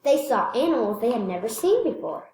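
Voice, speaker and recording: A woman reading a children's picture book aloud expressively, stressing words and pausing to point things out. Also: a little high, sing-song, darkish tone, echoey room, slight background noise. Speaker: read-aloud storyteller.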